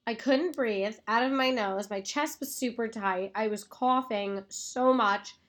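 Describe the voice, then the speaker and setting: A woman co-hosting a podcast, hoarse from a cold, chatting loosely with lots of fillers. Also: fairly high pitch, measured pace. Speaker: podcast co-host. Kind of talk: casual chat.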